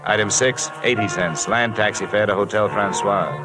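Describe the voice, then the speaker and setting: An actor playing a hard-boiled, wisecracking insurance investigator in old-time radio detective style, clipped and sardonic. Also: male voice, on the low side, normal speed, over music, close to an everyday voice. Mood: dry narration. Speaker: lead investigator and narrator. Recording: hissy vintage radio recording.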